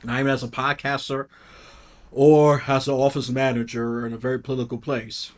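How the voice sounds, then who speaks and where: A man's voice: medium pitch, little pitch movement, brisk. A man talking casually as a podcast guest, blunt and outspoken, rambling with frequent restarts. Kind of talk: casual conversation.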